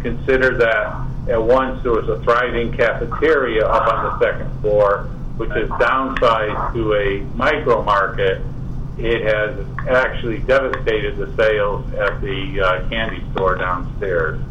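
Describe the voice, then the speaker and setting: A man on a conference call giving a committee report, halting and correcting himself with many fillers. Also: on the low side, fairly even intonation, a bit bright. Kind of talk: casual meeting talk on a conference call. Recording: muffled conference call with faint hiss.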